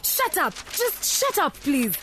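A worn-out student mother at the end of her rope, tearful and frantic. Her voice sits very high and swings widely, and a heavy hiss runs under the recording. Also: huge pitch swings, slow pace, heavily acted. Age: young adult. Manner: frantic and pleading. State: tearful and exhausted. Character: stressed young student mother at breaking point. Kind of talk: radio drama acting.

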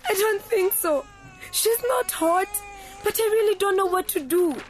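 A woman's voice: extremely high pitch, big pitch swings, unhurried, slight background noise, exaggerated voice. An actress playing an exhausted young student mother, tearful and frantic, pleading and snapping. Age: young adult. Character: stressed young student mother at breaking point. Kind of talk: radio drama acting.

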